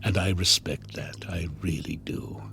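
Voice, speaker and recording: A man's voice: deep voice, little pitch movement, unhurried, gravelly, sharp tone, in a whisper, soft background music, heavily acted. An actor playing a sly, devilish door-to-door salesman in a radio drama, with glib, coaxing, theatrical sales patter. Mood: smooth sales pitch. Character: sly devilish door-to-door salesman peddling a time-bending clock. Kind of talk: modern radio drama acting.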